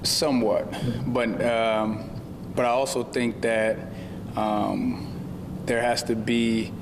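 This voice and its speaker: A man speaking as a panel guest in a halting, reflective conversational way. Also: fairly low pitch, level tone. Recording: reverberant, clearly noisy recording.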